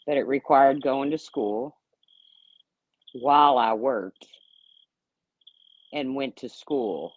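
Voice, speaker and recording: A woman's voice: rumbling low, slow, long pauses, low-quality recording. Blunt, sarcastic woman in her fifties. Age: 50s. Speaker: YouTube livestream host. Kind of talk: casual livestream rant, answering chat.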